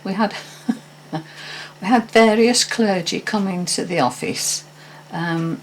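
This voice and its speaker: A woman's voice: mid-range voice, slow pace, a little hiss or hum. An older woman telling a personal story in a casual, hesitant, meandering way with frequent restarts. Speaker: vlogger.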